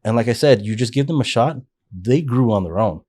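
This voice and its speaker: A man's voice: mid-range voice, animated, a little fast, mellow, husky. A man in his forties, a podcast guest, telling his life story in an earnest, rambling way with frequent restarts. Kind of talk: casual life-story interview.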